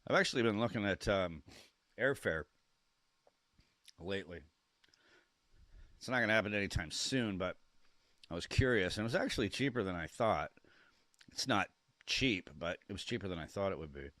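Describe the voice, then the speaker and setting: A young man with a cold, sniffly and coughing, chatting casually and excitedly on a live stream, rambling and stumbling over his words. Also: low voice, moderate pace, long pauses, no room sound. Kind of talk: casual live-stream watch-along chat.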